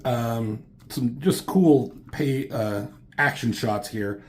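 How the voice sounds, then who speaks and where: A man in his thirties, just getting over a cold so his voice sounds slightly off, reviewing comics at home in a loose, halting way, full of ums and casual swearing. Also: medium pitch, darkish tone. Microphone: camera mic.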